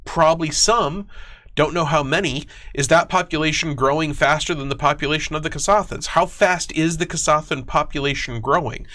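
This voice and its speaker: A man's voice: on the high side, a little fast, sharp tone. A man giving an explanatory livestream talk, emphatic, often posing questions and checking in with "right?". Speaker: guest game designer explaining lore.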